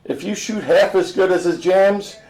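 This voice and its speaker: Proud American father acted by a male reader, playfully insistent, emphatic storybook dialogue, raised pitch. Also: normal speed, darkish tone, lightly acted, rough recording. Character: proud, jazz-loving dad, playfully insistent.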